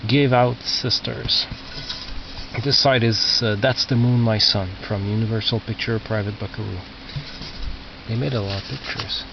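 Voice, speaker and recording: A man who is not a native English speaker, casually talking through his collection as a record collector, halting and muttering as he reads out labels, on a cheap video camera microphone. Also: lowish voice, steady tone, gravelly. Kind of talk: casual narrated show-and-tell of collection. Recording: muffled cheap video camera, loud noise.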